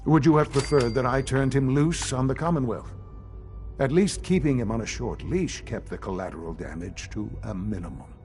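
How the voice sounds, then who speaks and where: An actor playing an elderly male leader of a secretive science institute in a video game, speaking in a measured, formal, gravely earnest way. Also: mid-range voice, expressive, darkish tone, soft background music, lightly acted. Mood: measured, defensive. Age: older. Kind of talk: video game dialogue acting.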